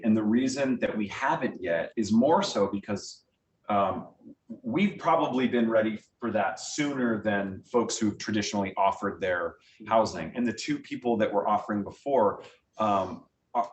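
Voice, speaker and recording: A man answering a group's questions in a conversational, enthusiastic way, as a guest theater director. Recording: roomy, distant mic, faint rumbling noise.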